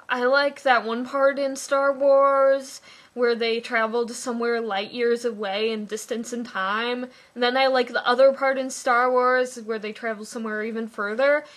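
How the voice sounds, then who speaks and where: A young woman in her twenties giving a sarcastic, pedantic comic review of a book. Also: fairly high pitch.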